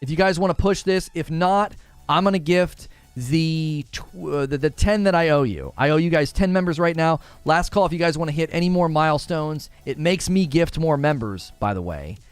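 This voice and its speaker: A man with a smooth, clear and slightly high voice hosts a gaming livestream. He talks informally and slangily, speaking straight to his viewers as he reads out their comments. The sound is close and dry. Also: lively intonation, moderate pace, no room sound, soft background music. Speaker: gaming podcast host and livestreamer. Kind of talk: casual livestream chat, reading viewer comments aloud.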